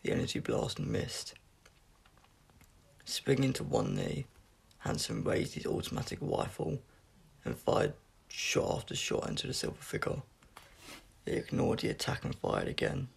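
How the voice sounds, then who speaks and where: A man reading a science fiction story aloud as scripted narration, in a gravelly, husky voice with an unpolished, amateur delivery. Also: on the low side, wildly swooping pitch, measured pace, pauses often. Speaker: audiobook reader.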